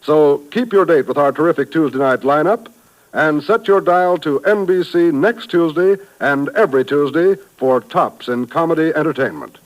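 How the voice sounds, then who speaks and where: A man working as a 1950s network radio announcer, reading promos and credits in a brisk, polished delivery. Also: fairly high pitch, low-quality recording. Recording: vintage broadcast with faint music.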